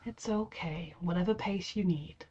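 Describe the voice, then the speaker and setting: A woman's voice: on the low side, moderate pace, dull audio, a crowd in the background. Sniffly woman with a head cold. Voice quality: nasal from a cold. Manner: chatty, empathetic, talks to the characters. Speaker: reaction video host. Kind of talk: casual reaction commentary.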